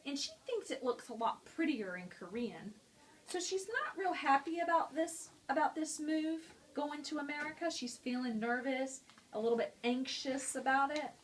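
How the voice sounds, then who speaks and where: A woman's voice: high voice, low-quality recording. A woman teacher at a school, explaining a picture-book lesson to students in a friendly, explanatory way.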